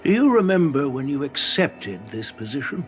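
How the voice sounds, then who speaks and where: An actor playing an older, stern male general who lectures slowly and with measured weight. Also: faint music behind, close to an everyday voice. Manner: measured, lecturing, lively pitch. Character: stern old male general, wise and commanding. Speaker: veteran general. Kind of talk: game voice acting.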